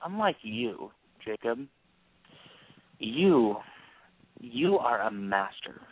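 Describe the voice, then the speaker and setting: A man playing a younger, falsely friendly schemer with a deliberate, flattering manner in an audio play. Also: medium pitch, husky, dry recording, heavily acted. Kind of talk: audio stage-play acting. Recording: tinny narrowband phone-like line.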